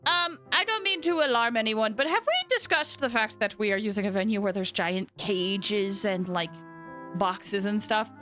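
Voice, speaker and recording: A woman streamer giving casual, reactive, expressive commentary on a live game. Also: mid-range voice, moderate pace. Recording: game music behind the voice.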